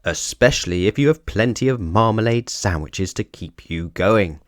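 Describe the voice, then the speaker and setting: A man's voice: on the low side, lively intonation, moderate pace, dry recording, clean studio sound, lightly acted. Man putting on a polite, earnest little storybook bear. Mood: delighted. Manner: courteous, earnest phrasing. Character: polite, earnest young bear, easily delighted. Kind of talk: children's storybook read-aloud.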